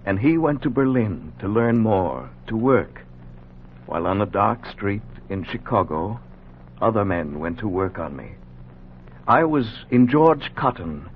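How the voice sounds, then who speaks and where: A man's voice: fairly low pitch, big pitch swings, unhurried, slight background noise. An actor playing a solemn, dramatic narrator telling his own story in a 1940s radio drama. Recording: muffled, humming 1940s radio recording.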